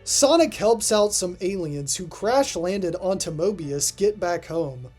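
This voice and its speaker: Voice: mid-range voice, animated, unhurried, a little mellow. Offhand video reviewer with music behind. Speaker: host of a video ranking cartoon episodes. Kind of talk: casual scripted review commentary. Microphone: voice-over recording.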